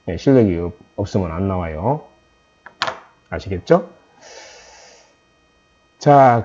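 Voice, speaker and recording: A man's voice: lowish voice, dark tone, dull audio. A man with a slight Jeolla Korean accent, lecturing trainees in a conversational way, with many "eo" fillers and restarts. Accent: Jeolla Korean, mostly softened.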